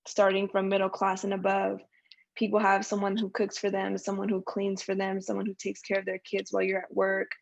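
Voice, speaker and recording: Creaky-voiced young woman, slightly dull audio. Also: medium pitch. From San Francisco Bay Area, US, born in Colombia. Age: young adult. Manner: informal, reflective, frequent "like". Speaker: podcast guest. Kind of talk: casual interview conversation.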